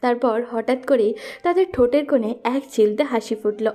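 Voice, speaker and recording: A woman's voice: fairly high pitch, moderate pace, warm tone. A woman reading scripted narration for a romantic story.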